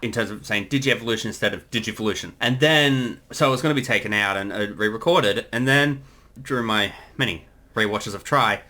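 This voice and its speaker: A man's voice: on the low side, brisk, sharp tone. A man speaking as a series creator, giving a casual commentary.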